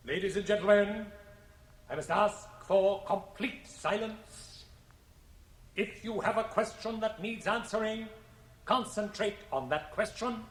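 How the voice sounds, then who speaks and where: An actor playing a grandiose stage magician in a radio play, proclaiming in measured, incantatory style. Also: male voice, high-pitched. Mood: commanding, hushed. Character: grandiose stage magician commanding a solemn illusion show. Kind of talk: 1980 radio drama acting. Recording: echoey theatre effect, cassette radio transfer.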